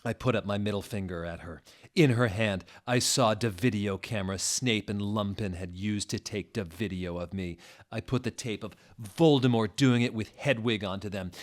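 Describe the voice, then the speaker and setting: Low-voiced man reading dramatically. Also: normal speed. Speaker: narrator. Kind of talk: dramatic comedic reading of fan fiction.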